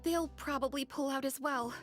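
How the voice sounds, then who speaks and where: An actress playing a young, deferential and anxious shop assistant in a video game. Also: female voice, sits high, moderate pace, lightly acted. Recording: music behind the voice.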